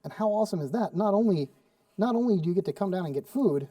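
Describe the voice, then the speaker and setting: A man's voice: high voice, lively intonation, quick pace, dark tone, no echo, clean studio sound, far from the mic. Chatty man cooking, heard from afar. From Sweden. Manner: chatty, rambling with many fillers and restarts. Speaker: cooking show host. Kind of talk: casual cooking demonstration. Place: studio kitchen.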